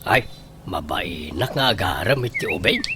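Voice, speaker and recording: A man's voice: on the low side, huge pitch swings, moderate pace, music in the background. A man with an Ilocano accent playing a stubborn, lovelorn old bachelor in a comedy radio drama. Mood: self-justifying. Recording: noisy radio drama recording.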